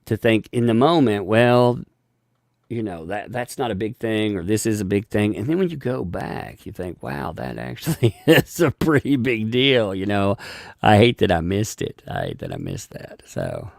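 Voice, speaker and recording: A man hosting a casual live stream, thanking viewers for donations and rambling with frequent restarts and chuckles; he has a gravelly voice. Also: fairly low pitch, very animated, moderate pace, darkish tone, no room sound, studio quality.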